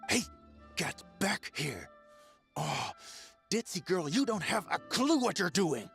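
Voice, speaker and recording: A flustered newspaper editor in a video game. His high voice swoops wildly as he blusters, scolding and apologetic, with faint music behind him. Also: sing-song, slow pace, vocal fry, a bit bright, full character voice. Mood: exasperated.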